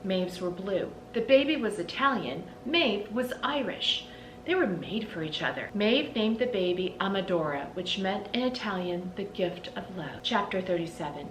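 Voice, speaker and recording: A middle-aged woman reading a storybook aloud expressively, with deliberate phrasing and dramatic emphasis. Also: animated. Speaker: read-aloud host. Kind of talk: storybook read-aloud narration. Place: indoors in a small room lined with bookshelves. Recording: roomy echo, clear background rumble.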